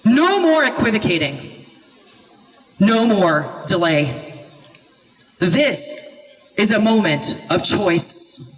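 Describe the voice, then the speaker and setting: A woman activist delivering an impassioned protest speech at a vigil, speaking in an emphatic, deliberate, declamatory way. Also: medium pitch, very animated, faint music behind. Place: public vigil gathering, speaking to a crowd. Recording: echoey amplified speech from a distance.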